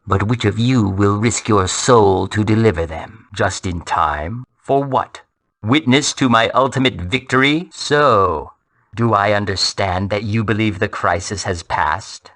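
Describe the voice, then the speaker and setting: An actor playing a cold, arrogant soul-collecting villain in a fantasy video game, speaking with formal, deliberate menace. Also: male voice, lowish voice, animated, fairly bright tone. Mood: gloating, ominous.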